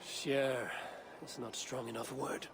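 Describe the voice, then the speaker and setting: A man's voice: mid-range voice, flat delivery, slow pace, lightly acted. A man acting a solemn, mysterious Norse-era wanderer in a video game cutscene, speaking tersely. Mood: wistful resolve. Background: loud music.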